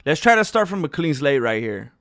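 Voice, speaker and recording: A man's voice: a little high, sing-song, a little fast, a bit bright, no echo, studio quality. A man chatting casually on a live stream, joking and drifting into song, who says he is losing his voice. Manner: jokey, breaks into off-key singing and ad-jingle bits.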